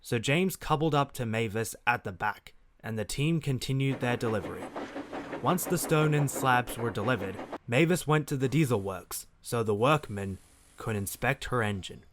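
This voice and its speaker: A man's voice: medium pitch, animated, moderate pace, noisy background. A man narrating a scripted children's story as the narrator of an audio drama.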